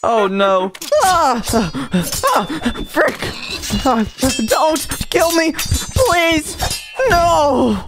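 Woman voicing an excitable high-pitched cartoon stick figure. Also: extremely slow, music in the background, heavily acted. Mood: panicked, fierce. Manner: casual youthful slang, chatty exclamations. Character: excitable orange stick figure searching for lost friends. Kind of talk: amateur cartoon fan voiceover.

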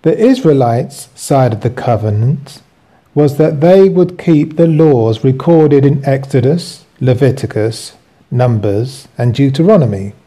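Guy reading his book aloud w commentary, very soft mellow tone, slightly high voice, takes it slow. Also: animated, breathy edge.

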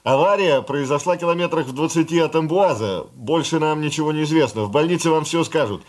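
Man acting talkative official, fairly high voice, matter-of-fact, hesitant asides, radio drama. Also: fairly quick, natural voice. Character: talkative male official breaking bad news of an accident. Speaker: actor in a radio play.

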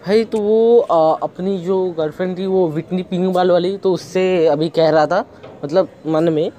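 A man's voice: high-pitched, steady tone, unhurried, warm tone, lo-fi sound, a little hiss or hum. A young man casually commenting on his playthrough as a gaming host, thinking aloud as he goes. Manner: chatty, thinks aloud while playing. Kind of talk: casual let's-play commentary.

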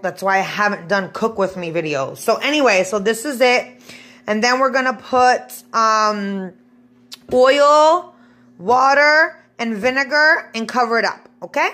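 A woman's voice: mid-range voice, a bit bright. A woman from the Bronx giving a casual, unscripted home cooking demonstration, chatting informally to viewers in her kitchen. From the Bronx, New York, USA. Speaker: home cook vlogger. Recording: echoey room, clear background noise.

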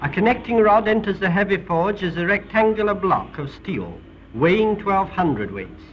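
An old, dull-sounding film soundtrack with some noise carries a British man's voice. He narrates like a 1930s documentary presenter, formal and a little theatrical. His delivery swings in a lively way through the phrases. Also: sits high, moderate pace.

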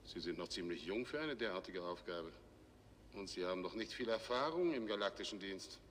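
A stern middle-aged general of a space fleet in a 1960s science fiction series. His voice is forceful and medium-pitched, clipped and official, ringing in a long reverb on a noisy old soundtrack. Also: lively intonation, a little fast. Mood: brisk, official. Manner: clipped, formal, authoritative. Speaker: actor.

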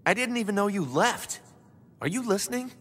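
An American actor playing a cocky, irritable, foul-mouthed young assassin in a video game, with slangy delivery. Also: male voice, lively intonation, normal speed, a bit bright. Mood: annoyed. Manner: brash, slangy, exasperated. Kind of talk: video game cutscene acting. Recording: game audio with hall reverb, rumbly noise.

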